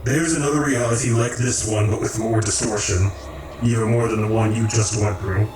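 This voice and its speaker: A man in a radio drama playing a calm, commanding creator of realities who speaks in measured, formal, cryptic phrases. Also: lowish voice, background noise, close to an everyday voice. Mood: cryptic, grave. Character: calm, commanding cosmic creator, male, cryptic philosophical overseer. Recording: roomy echo, distant, music underneath.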